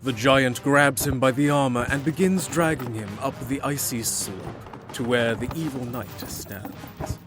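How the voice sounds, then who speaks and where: A man's voice: mid-range voice, measured pace, few pauses, music in the background. A man giving dramatic, scripted fantasy narration as the storyteller.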